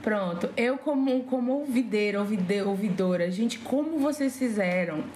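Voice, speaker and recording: A woman's voice: mid-range voice, expressive, moderate pace, darkish tone. A woman talking casually and enthusiastically in a podcast conversation. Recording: faint background noise.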